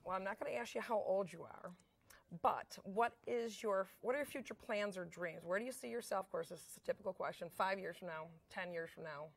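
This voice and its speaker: A woman's voice: medium pitch, brisk, vocal fry. A woman hosting an interview show, asking questions in a chatty way and reacting with exclamations. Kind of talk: casual interviewer.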